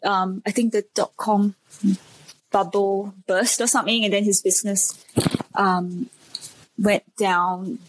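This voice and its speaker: A breathy, slightly husky woman in her thirties from Singapore answers interview questions. She talks hesitantly, with many fillers and restarts, and comes across as modest. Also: medium pitch, flat delivery, slow, long pauses, low-quality recording. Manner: modest, laughs shyly. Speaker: speech pathologist and clinic owner.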